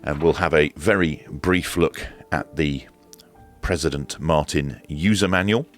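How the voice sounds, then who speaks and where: Very deep-voiced British man, casual reviewer. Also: unhurried, soft background music. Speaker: reviewer presenting a product video. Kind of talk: casual unboxing and demonstration commentary.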